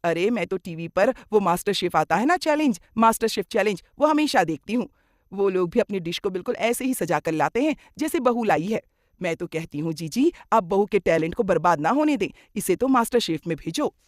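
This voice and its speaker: A woman's voice: mid-range voice, expressive, a little fast, dry recording, clean studio sound, close to an everyday voice. A woman playing a gossipy, effusive middle-aged aunt who chatters and exclaims, in a moral cartoon story. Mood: admiring.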